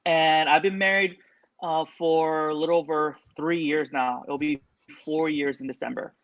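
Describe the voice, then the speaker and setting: A man's voice: a little high, fairly even intonation, moderate pace, a bit bright. A man in his thirties hosting a casual podcast, chatting loosely with fillers. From California. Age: 30s. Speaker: podcast host. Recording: dull lo-fi audio.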